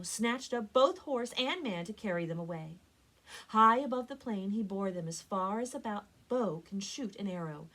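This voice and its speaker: A woman reads a story aloud expressively, with deliberate, dramatic phrasing and strong emphasis, like a librarian at story time. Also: mid-range voice, a bit bright, low-quality recording. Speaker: librarian reading stories for a library program.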